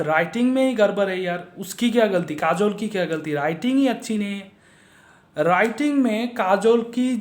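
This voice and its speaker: A man's voice: high-pitched, roomy sound. A man giving a casual spoken film review, blunt and mocking, repeating himself for emphasis.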